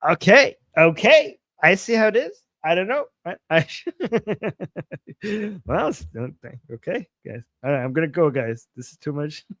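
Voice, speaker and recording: A man's voice: fairly high pitch, lively intonation, takes it slowly, a bit bright, no echo, clean studio sound. A man in his forties hosting a casual livestream, reading viewer comments aloud and reacting with playful, chuckling asides and frequent repetitions. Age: 40s. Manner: playful, chuckling, repeats himself while reacting to chat. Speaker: livestream host.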